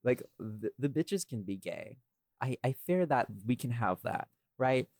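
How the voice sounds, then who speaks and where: A man's voice: mid-range voice, animated, measured pace, darkish tone, no room sound, studio quality. A man chatting casually as a podcast host, rambling and opinionated, with some casual swearing. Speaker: podcast host and audio drama writer.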